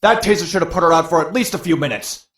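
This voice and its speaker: Guy doing a rattled goon, high voice, echoey room. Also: quick pace, fairly bright tone, close to an everyday voice. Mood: alarmed.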